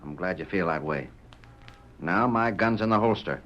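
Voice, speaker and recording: A man's voice: low-pitched, animated, moderate pace, a bit bright. An actor playing a menacing male outlaw gunman in a 1938 radio drama, drawling his threats. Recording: muffled 1938 radio broadcast with hiss.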